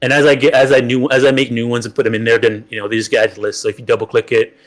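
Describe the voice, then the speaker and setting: Guy talking thru his game setup, lots of uhs and restarts, mid pitch. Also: little pitch movement. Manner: halting, lots of fillers and restarts. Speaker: game master guest. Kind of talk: casual chat about tabletop games.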